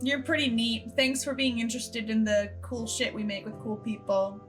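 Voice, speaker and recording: A young woman hosting a casual group chat in an animated, jokey, sweary way. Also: a little high, normal speed. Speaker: podcast host and director. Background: background music.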